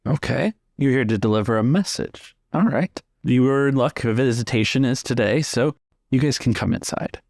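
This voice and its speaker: A man's voice: mid-range voice, moderate pace, gravelly, darkish tone, dry recording, studio quality, lightly acted. A man voicing a nosy but obliging fort guard with a folksy, questioning manner in a tabletop game. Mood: curious, obliging.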